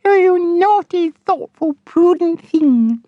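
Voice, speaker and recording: Guy doing a silly giggly young lady for an audiobook, way up high, gushing and girlish. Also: lively intonation, takes it slowly, no echo, dull audio, full character voice. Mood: playful teasing.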